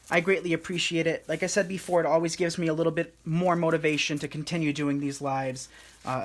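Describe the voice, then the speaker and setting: Soothing, relaxed man teaching a craft. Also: a little high. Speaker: craft demonstrator hosting a live stream. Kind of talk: casual live craft tutorial.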